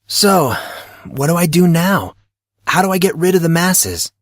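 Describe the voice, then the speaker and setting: A man's voice: a little high, big pitch swings, a little slow, no echo, clean studio sound, voice pushed up. A man voicing a puzzled, skeptical fourteen-year-old schoolboy, questioning and sarcastic, in an audio drama. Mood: bewildered. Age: teenager. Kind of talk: audio drama character reading.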